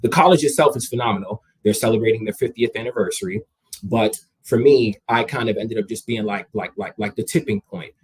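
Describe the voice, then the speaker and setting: A man's voice: lowish voice, a little fast, slightly rough, low-quality recording. A young man of Jamaican heritage, a guest in a casual interview, talking energetically and conversationally, often repeating his words as he starts a sentence.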